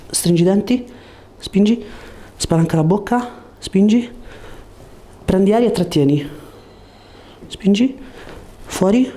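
Bright-voiced, low-key male chiropractor. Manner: short coaching commands with reassurance. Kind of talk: calm hands-on instructions to a patient. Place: small treatment room indoors. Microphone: lapel mic. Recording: noticeable room background noise.

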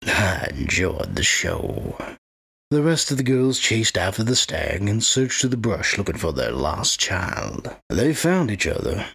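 Gravelly undead killer, coldly gloating. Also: male voice, deep voice, huge pitch swings, a little slow, fairly bright tone, heavily acted. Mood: smug, gloating. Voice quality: gravelly, husky. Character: undead wight narrator, patient, sinister, gloating killer. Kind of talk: first-person dark fantasy audio drama narration.

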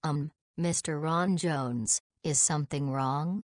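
A woman playing a reserved, polite young doctor in a visual novel, speaking in a formal, precise, measured way. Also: low voice, dry recording, studio quality. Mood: polite, hesitant.

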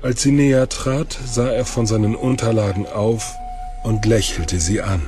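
A man narrates a fantasy audio drama from a script. His voice is fairly low, with music playing softly underneath. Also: steady tone, a little slow.

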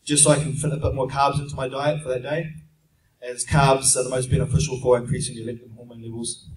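A young man with a New Zealand accent answers audience questions in a steady, plain explaining manner, often pausing on "um", speaking into a handheld mic in a large conference room. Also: medium pitch, far from the mic. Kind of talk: casual Q&A seminar talk. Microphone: handheld mic, heard from a distant camera. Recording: echoey room heard from afar.

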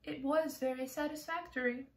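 A woman's voice: high-pitched, fairly even intonation, normal speed, echoey room, pitched above the performer's own voice. A woman voicing a genteel female spider with a sorrowful, sighing, aggrieved manner. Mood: pleased.

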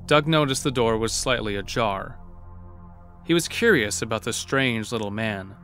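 A man's voice: medium pitch, animated, moderate pace, a bit bright. A man narrating a scripted horror story. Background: music.

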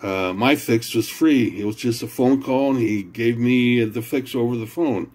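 A man casually explaining a simple home repair, talking plainly and haltingly with fillers and repeats. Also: mid-range voice, darkish tone. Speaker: home repair video maker.

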